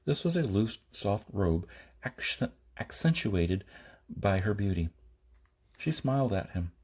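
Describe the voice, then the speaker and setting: A man reading a science fiction novel aloud as an amateur audiobook narrator, in short phrases with pauses and the odd stumble. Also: fairly low pitch, animated, a little mellow, husky. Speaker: audiobook reader.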